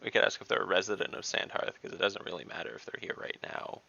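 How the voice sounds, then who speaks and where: A man's voice: deep voice, fast, vocal fry, bright, forceful tone, dull audio. A man playing in an online tabletop game, thinking aloud and reasoning through options. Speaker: player. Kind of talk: casual online tabletop chat.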